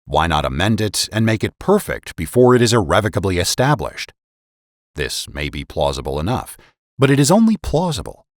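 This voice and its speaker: Male narrator for historical essays: low register, animated and emphatic oratorical delivery, dry recording. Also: moderate pace, no echo. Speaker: podcast host and narrator. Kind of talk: dramatic reading of historical political essays.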